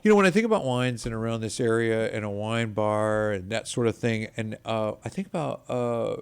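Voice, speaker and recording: A man's voice: lowish voice, normal speed, smooth. A man hosting a community radio talk show, leading a casual interview with filler-laden questions. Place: radio studio.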